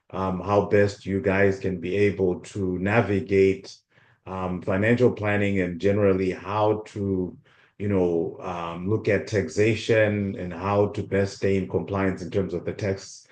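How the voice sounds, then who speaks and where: Low-voiced man from Zimbabwe, hesitant. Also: a little mellow, echoey room. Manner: hesitant, many ums and restarts. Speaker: guest speaker. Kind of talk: informal workshop talk. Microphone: video call.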